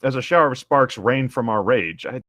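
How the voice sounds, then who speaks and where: A man's voice: mid-range voice, moderate pace, sharp tone, dry recording. A man co-hosting a casual read-aloud show, moving between narrating fan fiction and riffing on it.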